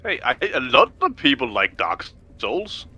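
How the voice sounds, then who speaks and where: A man's voice: medium pitch, huge pitch swings, measured pace, sharp tone, dull audio. A man co-hosting a gaming stream, joking and teasing the others. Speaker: co-host. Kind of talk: casual gaming stream chat.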